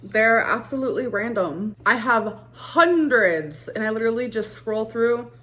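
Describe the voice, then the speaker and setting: A woman's voice: a little high, expressive, measured pace, bright, forceful tone. A woman vlogger who talks casually and gushingly as she answers fan questions. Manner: gushing, lots of "like" fillers. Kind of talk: casual vlog talk.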